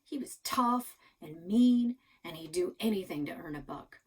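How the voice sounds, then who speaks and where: Woman voicing a gruff, grumpy old storybook grandpa. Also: on the low side, lively intonation, unhurried, darkish tone. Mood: storytelling, folksy. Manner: folksy, plainspoken, grumbling. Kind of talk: children's storybook read-aloud.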